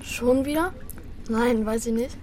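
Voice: mid-range voice, unhurried, noisy background, close to an everyday voice. A performer playing a sensitive, hot-tempered boy who narrates and acts in a children's radio play with youthful earnestness. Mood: wry.

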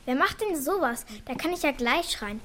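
A woman's voice: sits high, lively intonation, moderate pace. A female performer playing a stubborn, fearless young schoolgirl in a children's radio play, defiant and sarcastic. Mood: annoyed, sarcastic. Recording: loud children's music behind.

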